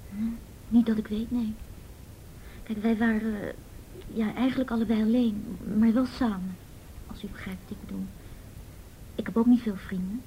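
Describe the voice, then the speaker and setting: Actress as a muffled, soft, hesitant teenage girl. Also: medium pitch, fairly even intonation, a little hiss or hum, lightly acted. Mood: shaken, hesitant. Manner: hesitant, halting, guarded. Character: shy, hesitant, grieving teenage girl, loyal best friend. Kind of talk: radio drama acting.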